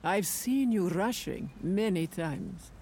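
An actress playing a mysterious, insistent fortune teller in a theatrical, declamatory audio drama style. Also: female voice, on the low side, expressive, slow pace, creaky, mellow, a little hiss or hum, full character voice. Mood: intrigued, probing. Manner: portentous, declamatory.

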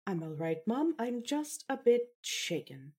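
Husky woman acting as grown daughter, measured and a bit formal but fond, lilting pitch, audio drama. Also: sing-song, dark tone, full character voice. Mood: reassuring, composed. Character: composed, articulate adult daughter, a novelist, reserved but fond. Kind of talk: fan fiction audio drama.

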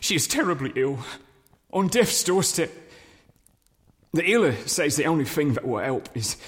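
A desperate, humble peasant father with a fairly high male voice. He is halting and deferential, stammering in an audio drama, in a long echoing hall. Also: sing-song. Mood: anxious, desperate. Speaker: voice actor. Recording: echoey hall reverb.

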